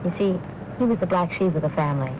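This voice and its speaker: An actress playing a self-possessed young cub newspaper reporter in 1940s film noir style. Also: female voice, on the low side, animated, fast talker, muffled recording, noisy background, natural voice. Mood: wistful. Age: young adult. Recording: 1940s film soundtrack with music behind.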